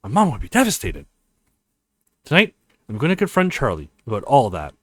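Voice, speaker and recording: A man's voice: mid-range voice, wildly swooping pitch, a little fast, fairly bright tone, no echo, studio quality, voice pushed down. A man voicing an earnest, worried young male college student in a visual novel.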